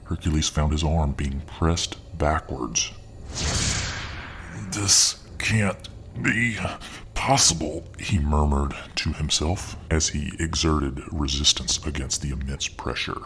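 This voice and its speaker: A man narrating a fiction story in a soft, ASMR style. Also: little pitch movement, a little hiss or hum. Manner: slow, soft, deep. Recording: narration over clear music.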